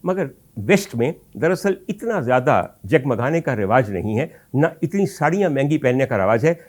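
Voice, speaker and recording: A male talk show host with a Pakistani accent speaks in a medium-pitched voice. He delivers commentary as a monologue to his audience. His manner is rhetorical and theatrical, leaning deliberately on key phrases. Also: darkish tone. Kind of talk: monologue commentary addressed to audience, mixing Urdu and English.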